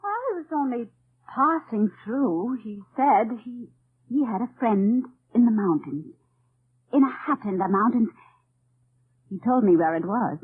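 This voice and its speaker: An actress playing a frightened, emotional young resistance woman with a husky voice in an old-time radio drama. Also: a little high, lively intonation. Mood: nervous, evasive. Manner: emotional, halting. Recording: tinny vintage radio recording.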